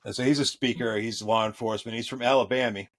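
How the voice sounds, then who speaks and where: A man with a thick Boston accent, a podcast guest speaking in a relaxed way with frequent fillers and small chuckles. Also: medium pitch, quick pace. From New England. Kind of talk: podcast interview.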